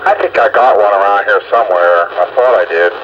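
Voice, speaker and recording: A man's voice: fairly low pitch, brisk, lo-fi sound. An older man chatting casually with fellow hobbyists over the radio as he hosts a round-up. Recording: very noisy radio transmission.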